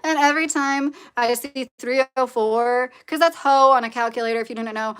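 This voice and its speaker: A woman's voice: a little high, moderate pace, a bit bright, smooth. A woman from with a nasal voice, hosting a livestream and chatting in a rambling, opinionated way with lots of "like" and "you know.". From Kentucky, United States. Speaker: livestream host reading viewer comments. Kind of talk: casual livestream chat.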